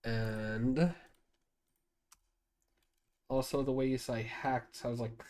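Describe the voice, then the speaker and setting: A man's voice: medium pitch, animated, drawn-out words, darkish tone. Nasal man thinking aloud on stream. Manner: rambling, thinks aloud with many restarts. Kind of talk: casual livestream talk while editing video.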